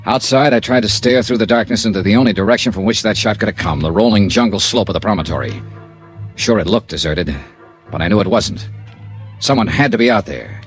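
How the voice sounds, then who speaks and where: A man's voice: fairly low pitch, a little fast, soft background music, close to an everyday voice. An actor playing a wry hardboiled insurance investigator, narrating his case in a clipped, dramatic vintage radio style. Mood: tense. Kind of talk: 1950s radio detective drama, first-person narration.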